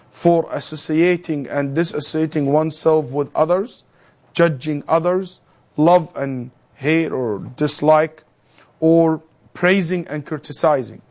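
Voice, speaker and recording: A man lecturing a classroom of students, his voice sitting notably high for a man. He keeps things animated, tossing rhetorical questions at the class and breaking in with jokes. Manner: joking asides with students. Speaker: lecturer teaching theology.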